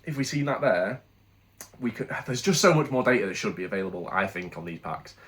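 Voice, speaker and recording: Congested British male host with a lower voice, talking casually and candidly through a product review. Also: a little fast, fairly bright tone. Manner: chatty, candid, rambling with restarts. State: congested from a cold.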